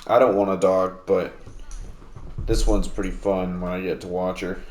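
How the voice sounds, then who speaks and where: Low-voiced man chatting far from the mic. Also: normal speed, echoey room. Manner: rambling, relaxed, many fillers and restarts; talking louder than normal to make up for mic distance. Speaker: gameplay video host. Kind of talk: casual gaming commentary. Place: in a house. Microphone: sitting at a distance from the mic.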